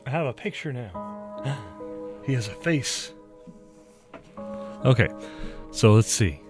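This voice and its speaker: A man's voice: medium pitch, big pitch swings, slow pace, over music. A man streaming a game, talking in a chatty, rambling way with a stuffy nose from allergies. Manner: chatty, rambling, self-deprecating. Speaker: gaming streamer. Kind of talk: casual game commentary.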